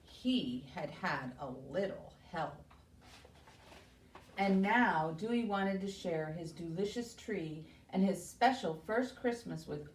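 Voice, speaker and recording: Woman hosting children's story time, echoey room. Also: mid-range voice. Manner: addresses small children with simple questions and explanations. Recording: echoey room, distant mic, faint noise.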